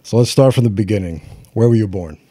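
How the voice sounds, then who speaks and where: A man's voice: on the low side, quick pace, dark tone. A man hosting a podcast interview, asking questions and answering with short, encouraging replies. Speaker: podcast host. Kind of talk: casual interview chat.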